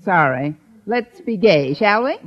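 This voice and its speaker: An actress playing a sardonic, jealous high school teacher in an old radio sitcom, tossing off deadpan sarcastic quips. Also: female voice, measured pace, natural voice. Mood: dry, needling. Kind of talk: 1950s radio sitcom acting. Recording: muffled noisy old radio recording, studio audience.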